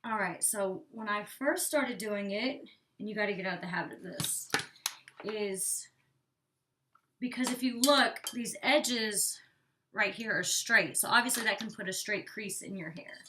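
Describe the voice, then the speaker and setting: A woman's voice: medium pitch, measured pace, sharp tone, a little hiss or hum. A young woman chatting casually through a hair tutorial, rambling with lots of "like", a bit congested and out of breath. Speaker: beauty vlogger. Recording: distant from the mic.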